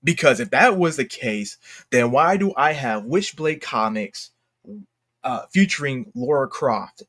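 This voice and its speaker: A man giving unscripted commentary as a YouTuber, talking in a halting, slangy, exclamatory way and often interrupting himself. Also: medium pitch, animated, fairly bright tone. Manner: rambling, halting, self-interrupting. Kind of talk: unscripted casual commentary rant.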